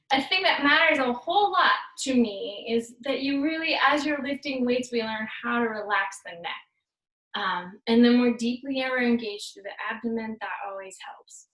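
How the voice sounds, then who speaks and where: A woman's voice: on the high side, a bit bright. A woman fitness instructor giving upbeat, playful workout cues, slipping in Spanish words. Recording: echoey room, voice far from mic.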